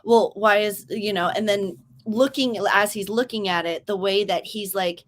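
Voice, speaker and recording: A young woman chats casually as host of a live writing stream. She tells stories with energy, slipping into quoted voices that leap up in pitch. Her voice sits at an ordinary middle height. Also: moderate pace, a little hiss or hum. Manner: animated, acts out quoted speech with big pitch jumps. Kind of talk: casual chat.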